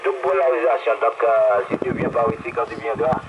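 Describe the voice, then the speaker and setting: A man with a Martinican French accent chatting over amateur radio, repeating his phrases in an unhurried way. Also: medium pitch. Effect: radio transmission. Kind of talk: casual radio contact chat. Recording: muffled, very noisy shortwave radio transmission. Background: loud radio noise.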